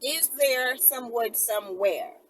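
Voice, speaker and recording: A woman's voice: on the high side, slow pace, fairly bright tone, dry recording. A woman host talking casually to her viewers, sassy and digressive, interjecting comments as she reads out messages. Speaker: host of her own video channel.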